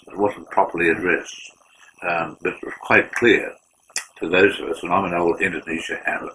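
An older man, a former UN war crimes investigator, answering interview questions in an earnest, measured way with frequent restarts. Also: deep voice, pushed and bright, slight background noise, low-quality recording.

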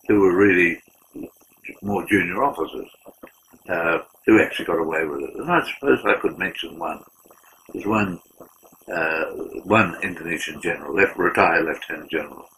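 An older man, a former UN war crimes investigator, answering interview questions in an earnest, measured way with frequent restarts. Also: deep voice, bright, forceful tone, slight background noise, poor audio.

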